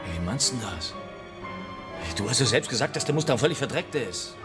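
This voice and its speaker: Male actor as nervous, self-justifying suspect, medium pitch, lively intonation, radio crime drama, music behind. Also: fairly quick, natural voice. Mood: rattled, justifying. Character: nervous, defensive male murder suspect under questioning.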